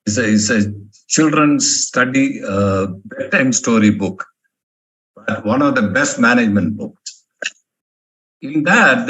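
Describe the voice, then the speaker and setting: A man's voice: mid-range voice, a bit bright, poor audio. A male professor from India giving a motivational management lecture, emphatic and fond of repeating key words. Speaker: professor giving a guest talk.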